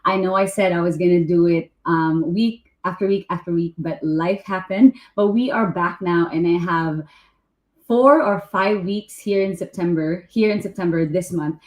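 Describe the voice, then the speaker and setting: A woman's voice: fairly low pitch, moderate pace, a little mellow. A woman with a Filipino accent, hosting a live online interview as the presenter. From Philippines. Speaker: host. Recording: echoey room.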